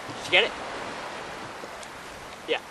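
A man's voice: slow pace, lightly acted. A young man acting a bossy, curious amateur filmmaker in a naturalistic found-footage film, speaking casually outdoors. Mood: casual, directing. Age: teens to young adult. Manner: hesitant, casual, directing. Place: outdoors on a pebble beach by the sea. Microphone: camera microphone at some distance. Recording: windy, very noisy outdoor camera mic.

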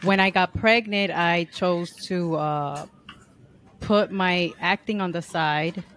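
A woman in her forties from New York, a podcast guest talking in a relaxed, unhurried way from home into a stand mic on a video call. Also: on the low side, slight background noise. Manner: relaxed, unhurried, laughs easily. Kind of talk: casual interview conversation. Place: home room. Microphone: studio mic on a stand, headphones, video call.

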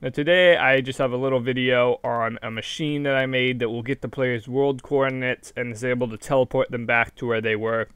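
Young male tutorial vlogger, slightly hoarse, chatty and self-correcting, smooth tone, dry close mic. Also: medium pitch, steady tone, brisk, hardly any pauses, a bit bright, no echo. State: hoarse from being sick. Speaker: Minecraft video creator. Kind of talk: casual screen-recorded tutorial.

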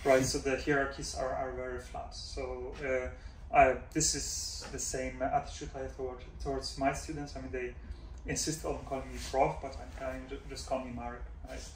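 A man's voice: mid-range voice, flat delivery, moderate pace. A man in his forties who grew up in Denmark, a professor answering interview questions thoughtfully, with many fillers and "right?" checks. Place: office room, indoors. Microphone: far camera mic. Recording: roomy echo from far off, faint hum.

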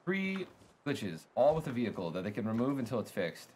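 A man's voice: mid-range voice, big pitch swings, a little fast, noisy background. A young man, a game streamer, chatting casually with viewers while he plays, thanking them and griping about lag, a little congested. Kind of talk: casual live-stream chat while playing. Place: home streaming room, indoors.

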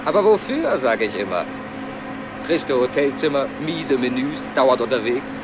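A man's voice: medium pitch, big pitch swings, moderate pace. An actor playing a garrulous middle-aged travelling salesman in a 1960s German TV drama, rattling off self-satisfied patter. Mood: chatty. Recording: noisy old film, vehicle interior. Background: engine and road noise.

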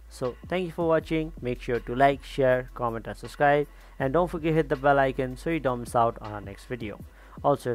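A man hosting a tutorial, talking casually through instructions step by step with frequent little check-ins. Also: mid-range voice. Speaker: tutorial host. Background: background music.

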